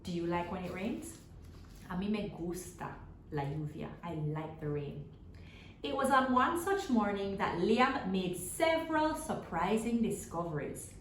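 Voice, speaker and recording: A woman reading a children's story aloud expressively, pausing to put simple questions to her young listeners. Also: medium pitch, animated, reverberant, slight background noise, rough recording. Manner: asks simple, repeated questions as to small children.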